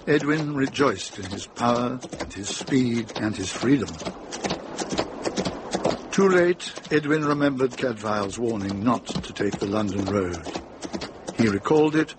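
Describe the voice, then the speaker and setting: An actor narrating a medieval mystery as the storyteller of a radio drama. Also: male voice, medium pitch, close to an everyday voice. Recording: noisy vintage radio drama recording.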